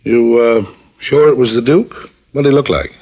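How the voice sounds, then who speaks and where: An actor playing an easygoing police lieutenant in a 1950s radio drama, talking in an unhurried, halting way. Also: male voice, mid-range voice, lightly acted. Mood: matter-of-fact. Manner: relaxed, drawling, hesitant. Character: male police lieutenant, easygoing old friend.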